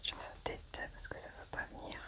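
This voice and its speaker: A woman whispers her way through a medical ASMR roleplay. She talks to herself softly and tentatively, describing each small step as she works. Her voice sits a little way from the microphone. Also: brisk, recorded from a distance. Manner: soft, hesitant, thinking aloud while working. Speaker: ASMR performer playing a medical examiner.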